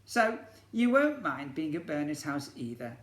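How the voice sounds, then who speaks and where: A man voicing a kind, reassuring mother of a small boy in a storybook, gently coaxing. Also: fairly high pitch, sing-song, a little slow. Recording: echoey room.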